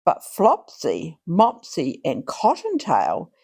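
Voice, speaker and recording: Slow, very animated woman storyteller. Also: on the low side, creaky, husky. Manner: expressive, deliberate, drawn-out phrasing for young children. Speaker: children's storyteller. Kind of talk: read-aloud storybook narration.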